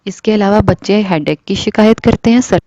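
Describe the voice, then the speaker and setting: A woman's voice: medium pitch, brisk, dark tone, no room sound. A woman presenting baby-care advice to parents, explaining patiently step by step and mixing in English phrases. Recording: dull lo-fi dry sound.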